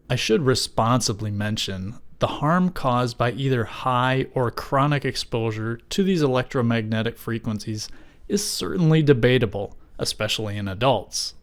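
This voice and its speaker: A middle-aged man narrating a scripted explainer in measured, formal wording with dry, wry asides. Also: medium pitch, slight background noise. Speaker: video creator and narrator.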